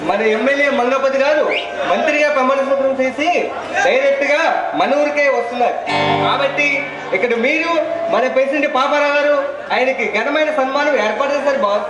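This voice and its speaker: Man acting a high-pitched, cheeky village henchman. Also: a little fast, lo-fi sound. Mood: eager, pestering. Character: cheeky talkative village henchman, needling his bosses. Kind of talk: Telugu stage play acting. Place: stage at a village drama show. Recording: echoey stage PA with loud music, crowd.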